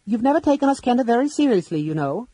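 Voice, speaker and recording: Man voicing a shrill, stammering small folk hero, high and quick. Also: sing-song, dark tone, no room sound, heavily acted. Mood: nervous. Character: small curious kender, shrill and nervy.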